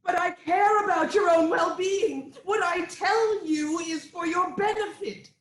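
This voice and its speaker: A woman acting an anguished, imploring queen in a theatrical reading of Greek tragedy, in a dramatic drawn-out delivery. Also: extremely high pitch. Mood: pleading. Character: anguished, pleading queen of ancient Thebes, mother and wife. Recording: echoey room with noise.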